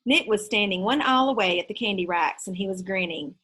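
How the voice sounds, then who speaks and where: A woman reading a children's story aloud in an expressive, teacherly storytelling style. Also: medium pitch, fairly quick, breathy edge.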